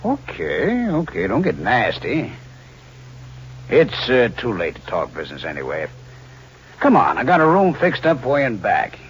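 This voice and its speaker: An actor playing a sly, needling, falsely friendly crook who schemes murder, in 1940s radio style. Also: male voice, mid-range voice, wildly swooping pitch, normal speed. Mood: falsely chummy. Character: sly, fat scheming crook plotting his uncle's murder. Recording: dull, hissy old radio recording.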